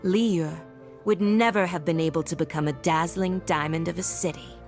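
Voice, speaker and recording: A woman voicing a cold, haughty villainous envoy in a video game, measured and condescending. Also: lowish voice, a little mellow, faint music behind, natural voice. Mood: cool disdain. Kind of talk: video game cutscene voice acting.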